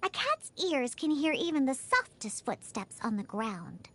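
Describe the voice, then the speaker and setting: A woman's voice: high-pitched, huge pitch swings, a little slow, a bit bright, heavily acted. A woman voicing a feisty, proud young cat-eared girl bartender in a video game, pouty and bratty with cutesy delivery. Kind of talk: video game character voice lines.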